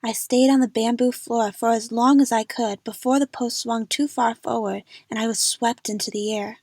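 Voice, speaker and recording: Woman, first-person dramatic narration, slightly high pitch, husky, dry sound, amateur audio drama. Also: steady tone, moderate pace, a little mellow, no echo.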